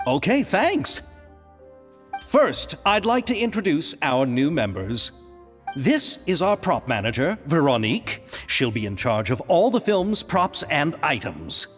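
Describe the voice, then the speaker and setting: A man's voice: a little high, very animated, a little slow, fairly bright tone, big-room sound, soft background music. An actor playing an earnest, polite and grateful male film producer in a video game, speaking formally. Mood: welcoming.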